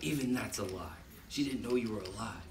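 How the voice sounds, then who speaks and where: A man voicing a blustering, jealous husband in a puppet show, indignant and quick to take offense. His voice sits low. The sound is roomy and picked up from far off. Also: crowd noise, lightly acted. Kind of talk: amateur puppet show reading.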